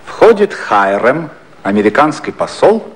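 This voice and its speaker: A man's voice: mid-range voice, big pitch swings, measured pace, background noise. A man acting as the wry narrator of a 1950s satirical radio play, reading the stage action theatrically.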